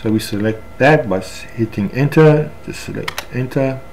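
A man with a South African accent talks through a software screencast step by step, unscripted and halting. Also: medium pitch. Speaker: software tutorial instructor. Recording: clear background noise, room ambience.

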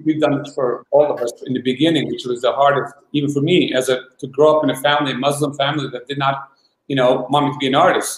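An older man speaking in a determined way as a panel guest over a video call, often repeating words as he goes. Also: mid-range voice. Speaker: panel guest, arts promoter. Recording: echoey room on a video call.

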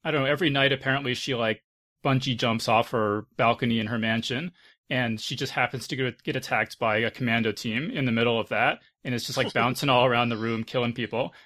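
A man's voice: medium pitch, steady tone, fast, sharp tone. Man hosting a casual panel chat. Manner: conversational, frank, swears casually. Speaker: podcast host. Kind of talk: casual panel discussion of films.

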